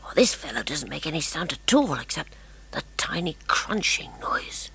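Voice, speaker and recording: A man voicing an eager, cautious, well-spoken teenage boy sleuth with a husky, breathy voice in a children's mystery audiobook. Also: a little high, very animated, normal speed, sharp tone, in a whisper, voice pushed up, full character voice. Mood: tense, secretive.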